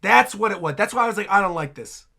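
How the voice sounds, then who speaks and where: A man's voice: high voice, animated, quick pace, gravelly, bright, forceful tone. A man hosting a reaction video, giving chatty, emphatic casual commentary.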